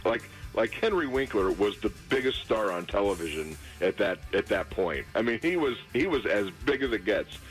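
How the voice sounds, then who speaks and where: Middle-aged male radio sports contributor, casual, halting delivery with repeated words, faint music bed. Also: fairly low pitch, animated, low-quality recording.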